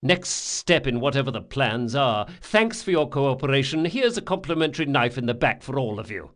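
A man's voice: mid-range voice, very animated, moderate pace, fairly bright tone, voice pushed up. A man as a bitterly sarcastic fantasy rogue. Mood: bitter sarcasm.

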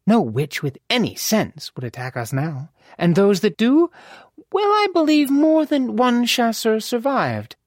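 Narrator acting older mother, woman, audiobook character, raised pitch, formal, wry, imperious, fond, crisp phrasing. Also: very animated, measured pace, a little mellow, dry recording, studio quality. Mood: teasing, brisk.

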